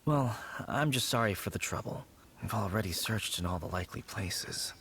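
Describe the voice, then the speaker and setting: An actor playing a gentle, worried man in a video game, speaking in a hesitant, downcast manner. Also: fairly low pitch, animated, normal speed, heavy background noise. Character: Meng, worried, guilt-ridden gentle man haunted by dreams. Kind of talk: anime-style video game voice acting. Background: game music.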